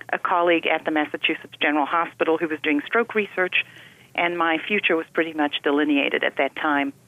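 Lady doctor, calm explaining, dry phone-ish sound. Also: low voice, no room sound, narrow, tinny audio. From South Africa. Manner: measured, explanatory. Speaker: neurologist, guest. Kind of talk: radio interview.

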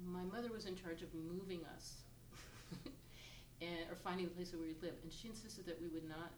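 An older woman from Virginia recounts her past in a low, unhurried voice, pausing often and filling gaps with little hesitations. She sounds set back from the mic in a roomy space, with a steady hiss of background noise beneath her. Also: distant mic. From Virginia, US South. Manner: thoughtful, unhurried, with frequent pauses and fillers. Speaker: interviewee. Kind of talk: oral history recollection.

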